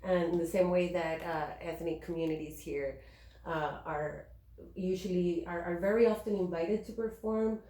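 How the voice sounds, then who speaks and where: A woman from Chile speaking at a panel discussion, thoughtful and halting with many fillers and restarts. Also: fairly low pitch, fairly even intonation, warm tone. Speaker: panelist, activist and educator. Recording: echoey room.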